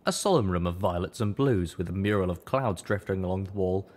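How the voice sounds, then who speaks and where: A man reading first-person fiction as an audiobook narrator, with measured, dramatic storytelling. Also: deep voice, darkish tone.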